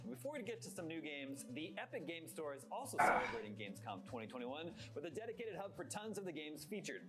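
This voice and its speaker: A man hosting a gaming show, with an upbeat, energetic broadcast delivery. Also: on the high side, quick pace. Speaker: show host.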